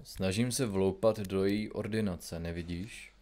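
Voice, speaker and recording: A man playing an impatient, grumpy investigative journalist in an audio comedy drama, with a gruff, curt, colloquial manner. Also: deep voice, a little mellow, close to an everyday voice. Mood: brisk, dry.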